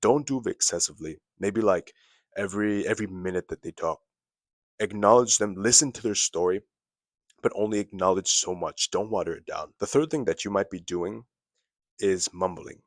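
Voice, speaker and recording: Deep-voiced young man giving advice. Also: normal speed, no room sound, clean studio sound. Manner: casual, instructive, repeats phrases for emphasis. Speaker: self-improvement vlogger. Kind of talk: advice talk to camera.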